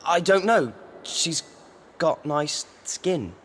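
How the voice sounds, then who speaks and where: A man's voice: a little high, expressive, drawn-out words, a bit bright, slight background noise, heavily acted. A male actor playing an earnest, plain-spoken teenage boy wizard and team captain in a video game. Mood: quiet, unsure. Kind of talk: scripted cinematic game acting.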